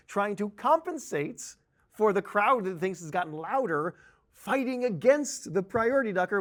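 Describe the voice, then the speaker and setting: Male training instructor, somewhat higher pitched, conversational tutorial delivery, explains step by step and leans on important words. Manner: explanatory, cautionary, stressing key words. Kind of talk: conversational tutorial narration over a software demo.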